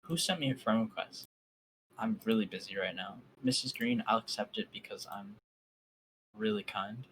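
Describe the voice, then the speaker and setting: A man's voice: fairly low pitch, normal speed, a bit bright, slight background noise, low-quality recording. A man reading a fan story aloud on a voice call and breaking off for sarcastic, mocking asides. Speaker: fan fiction reader.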